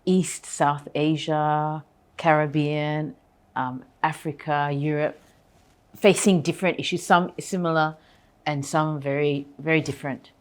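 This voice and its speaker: A woman's voice: low voice, level tone, measured pace. A woman interviewer asking conversational questions, somewhat hesitant as she searches for her words.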